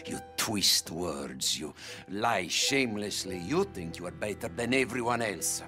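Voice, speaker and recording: An actor with an Italian accent playing a pompous, scornful middle-aged crime boss in a video game. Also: male voice, fairly low pitch, expressive, unhurried, pushed and bright, faint music behind. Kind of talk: video game cutscene acting.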